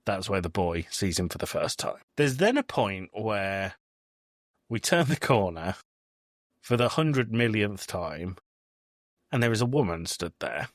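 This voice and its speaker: Man, low pitch, podcast host, casual film recap, hesitant repetitions, very dry sound. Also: lively intonation, unhurried, dry recording.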